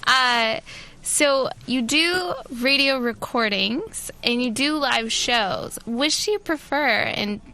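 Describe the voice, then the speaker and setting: A young woman interviews guests on college radio in a high, bright voice. She takes her time, shaping questions informally and a little hesitantly as she goes. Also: lively intonation, slow pace, low-quality recording. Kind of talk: casual interview.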